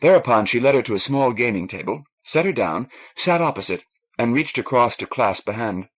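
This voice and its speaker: A man's voice: medium pitch, animated, brisk. A man reading a novel aloud in a scripted, literary narration style. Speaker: audiobook narrator.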